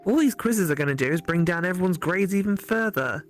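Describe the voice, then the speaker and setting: A woman voicing a teasing, studious female ghost classmate in a visual novel, speaking deliberately and articulately. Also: lowish voice, expressive, faint music behind, close to an everyday voice. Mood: indignant, serious. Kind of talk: visual novel character read aloud for a let's play.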